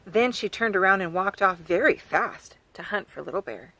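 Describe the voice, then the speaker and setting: A woman reads a children's storybook aloud with a lively, rising and falling voice. She talks gently to young listeners, pausing to point out the pictures as she goes. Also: mid-range voice, poor audio. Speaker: children's author reading aloud. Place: farm.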